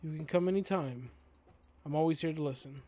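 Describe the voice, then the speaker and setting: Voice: mid-range voice, a little fast, dark tone, husky, soft background music, close to an everyday voice. Streamer acting stern, formal dinosaur principal. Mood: businesslike, supportive. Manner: formal, authoritative. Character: stern but supportive male dinosaur school principal. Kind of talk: visual novel character voice read aloud.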